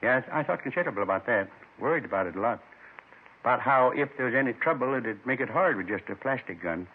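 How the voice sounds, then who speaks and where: An actor playing a lonely, gentle man in his seventies, folksy and wistful, confessing in short rambling phrases in 1950s radio drama style. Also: medium pitch, lively intonation, quick pace, slightly rough. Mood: remorseful. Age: 70s. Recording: muffled 1950s radio recording.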